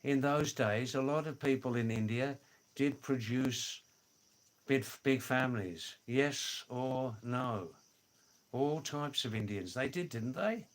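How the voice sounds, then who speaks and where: An older man hosting a casual livestream from his home in the Himalayas, answering viewer comments, repeating words and chuckling. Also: mid-range voice. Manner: slow, drawn-out, repeats words, chuckles "hoo hoo hoo". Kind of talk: casual live chat.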